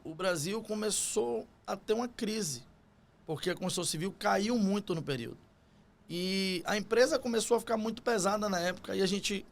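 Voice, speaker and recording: Higher-voiced Bahian Brazilian man. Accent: Bahian Brazilian Portuguese. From Salvador, Bahia, Brazil. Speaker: podcast guest, construction business owner. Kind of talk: conversational interview storytelling.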